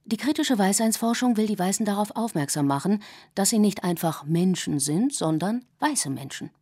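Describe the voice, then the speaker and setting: A woman in her forties, a native English speaker raised in Germany, reading her own radio essay in a measured, reflective way. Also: medium pitch, animated, a little mellow. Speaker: essay author and narrator. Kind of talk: scripted radio essay narration.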